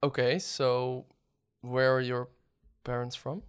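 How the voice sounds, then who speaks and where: A man's voice: mid-range voice, little pitch movement, takes it slowly, clear, resonant tone. A man with a Dutch accent hosting an interview, asking questions with hesitant restarts and ums. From Netherlands. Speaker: podcast host. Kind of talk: interview conversation.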